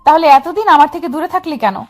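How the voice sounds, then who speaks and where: A woman's voice: sits high, animated, quick pace, soft background music. A woman playing a bossy, possessive and lovesick young girlfriend with a demanding, scolding manner. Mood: commanding.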